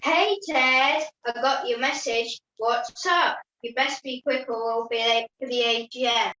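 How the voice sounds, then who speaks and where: A woman playing a brisk, exasperated, punctual puppet character in an online puppet show. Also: a little high, steady tone, sharp tone, soft background music. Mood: impatient, fussy. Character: impatient, punctual puppet fretting about being late. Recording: muffled, echoey video call.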